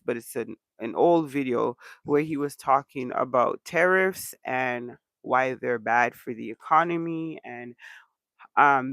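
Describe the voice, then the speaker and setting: A man with a stuffy, congested voice hosts a casual livestream chat from home, rambling loosely and filling his pauses with ums. His voice has a gravelly, fry-heavy edge. The sound is dry and close to the mic. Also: mid-range voice, no echo. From Canada. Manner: relaxed rambling with many ums. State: congested from allergies. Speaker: channel host.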